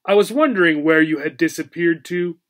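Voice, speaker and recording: A man voicing a nosy, pouty female cat who is easily excited, in a children's storybook. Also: fairly high pitch, fairly quick, lightly acted. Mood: prying. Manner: pouty, eager, prying.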